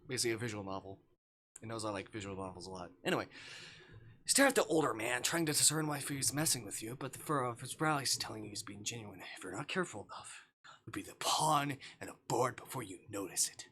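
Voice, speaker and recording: A man's voice: medium pitch, fast, sharp tone, slight background noise. A man livestreaming, reading a visual novel aloud and dropping into casual, sweary asides.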